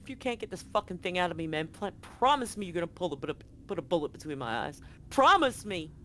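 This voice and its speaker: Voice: mid-range voice, big pitch swings, fast, fairly bright tone. A desperate woman soldier on the run, acted out by a game master in a tabletop game. She stammers, pleads and swears as she comes apart, over a noisy live-stream recording. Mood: terrified demanding.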